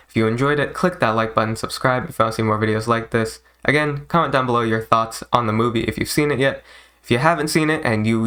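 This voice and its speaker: A man's voice: mid-range voice, fairly bright tone. Casual man rambling over background music. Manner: informal, enthusiastic, swears casually. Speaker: YouTube movie reviewer. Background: video game soundtrack music.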